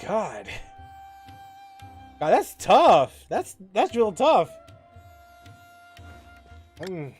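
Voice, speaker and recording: A man giving casual live commentary as a gaming streamer, musing informally in a halting way. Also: high-pitched, huge pitch swings, a little mellow, soft background music.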